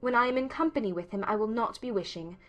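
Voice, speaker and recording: A woman playing a witty, spirited young gentlewoman in an audiobook drama, expressive and articulate, teasing yet sincere. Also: a little high, quick pace, soft background music, natural voice. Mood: earnest, spirited.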